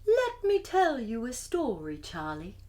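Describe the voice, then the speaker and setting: A woman voicing a wise, gentle old male owl in a calm, hushed, wistful storybook manner. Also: a little high, unhurried. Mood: gentle, inviting.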